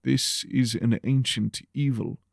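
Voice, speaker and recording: A man voicing a grave, scholarly church rector who speaks formally and deliberately in an audio drama. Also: medium pitch, fairly even intonation, vocal fry, no room sound, clean studio sound. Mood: grave, urgent.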